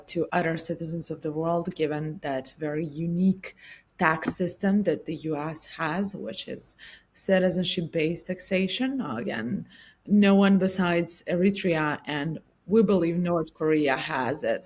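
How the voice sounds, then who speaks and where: A woman from Serbia, a guest expert answering interview questions in a careful, explanatory way with frequent hesitations. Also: lowish voice. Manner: explanatory, many hesitation fillers.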